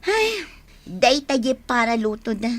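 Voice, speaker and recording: A proud, breezy bride-to-be in radio drama style. The voice is a little high and very lively in pitch, showy and emphatic, with an Ilocano Filipino accent. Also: female voice, a little slow. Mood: breezy, dismissive. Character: proud young bride-to-be, blunt and breezy with friend.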